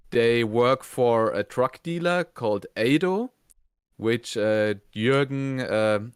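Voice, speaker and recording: A man co-hosting a casual review, retelling scenes in a hesitant, halting way. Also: medium pitch, clear, resonant tone, no echo, studio quality. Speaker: reviewer, co-host.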